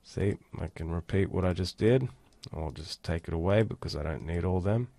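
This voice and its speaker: A man giving a casual, step-by-step software walkthrough as an instructor. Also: low voice, moderate pace, vocal fry, dry recording. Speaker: tutorial instructor. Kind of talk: screen-recorded software tutorial, casual walkthrough.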